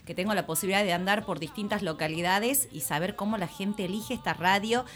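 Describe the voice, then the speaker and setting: A woman's voice: mid-range voice, fairly quick, fairly bright tone. Enthusiastic Argentine woman promoting an event. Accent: Argentine Spanish. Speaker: municipal press director. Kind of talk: radio interview guest.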